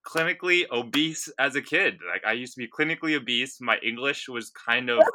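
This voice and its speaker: A young man from India, whose first language is Punjabi, hosting a casual podcast and talking in a relaxed, rambling way full of fillers. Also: medium pitch, brisk. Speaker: podcast host.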